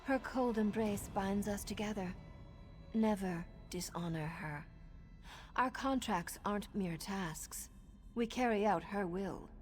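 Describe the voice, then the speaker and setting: An actress voicing a flirtatious, wicked noblewoman assassin in a video game, with a teasing, breathy manner. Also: mid-range voice, slow, slight background noise, close to an everyday voice. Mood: breezy, proud. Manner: teasing, coquettish, breathy asides. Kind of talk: video game character acting. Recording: background music under the voice.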